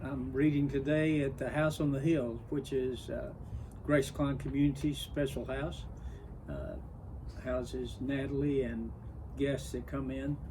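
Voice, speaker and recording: A man reading a children's story aloud in an expressive storybook narration style. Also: medium pitch, measured pace, background noise. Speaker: story reader.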